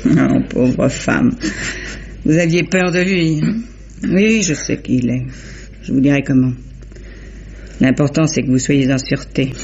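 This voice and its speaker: A woman playing a brisk, resourceful rescuer in an old French radio mystery, by turns commanding and reassuring. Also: extremely low pitch, vocal fry, a little mellow, heavily acted. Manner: urgent commands, soothing assurances. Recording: dull old radio recording, faint noise.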